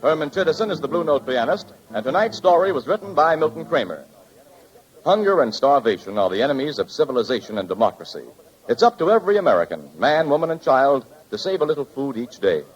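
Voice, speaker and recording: A man's voice: fairly high pitch, big pitch swings, quick pace. A man announcing on 1940s radio in a formal, declamatory style. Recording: dull old radio recording with music. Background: music.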